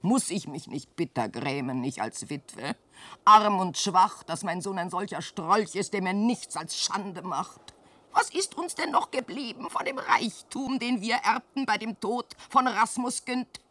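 A woman's voice: lively intonation, unhurried, no echo. A scolding old widowed mother. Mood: lamenting. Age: older. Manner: scolding and lamenting, swings from shrill cries to hushed sighs. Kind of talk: classic radio play acting in verse.

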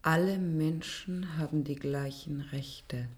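A woman playing an earnest, obedient female test subject in a radio play, reciting deliberately and precisely. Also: low voice, fairly even intonation, darkish tone. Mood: solemn, earnest. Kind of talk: German radio play acting.

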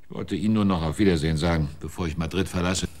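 An actor playing a weary, terse detective in a German radio drama, dry and matter-of-fact in delivery. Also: male voice, sits low, fast talker, a little mellow, close to an everyday voice. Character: weary, terse male detective wanting his fee.

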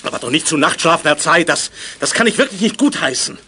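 A man's voice: a little high, lively intonation, fast, pushed and bright, a little hiss or hum. A male actor playing a stern but kindly youth village leader in a children's radio play, with a fatherly, authoritative manner. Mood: indignant. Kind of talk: 1980s German children's radio play acting.

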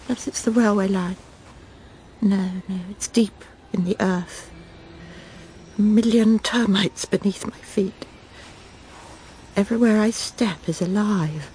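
A woman's voice: mid-range voice, darkish tone, slight background noise, lightly acted. An actress playing a proper, self-satisfied middle-aged wife and mother in a radio drama, prim and clipped, anxiously reassuring herself. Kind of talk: radio drama acting, dialogue and inner monologue.

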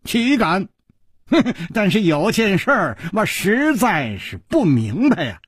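A man voicing a small, crude, boastful old martial-arts villain in an audiobook, cackling and taunting in a husky voice. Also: high voice, big pitch swings, slow, no room sound, clean studio sound, pitched above the performer's own voice, exaggerated voice. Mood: amused, baffled.

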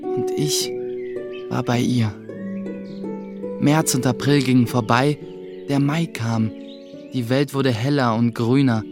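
Reflective young apprentice, over music. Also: male voice, medium pitch, steady tone, slow, pauses often. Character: young male mill apprentice, thoughtful and guarded storyteller. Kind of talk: scripted first-person narration in a German radio play. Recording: music behind the voice.

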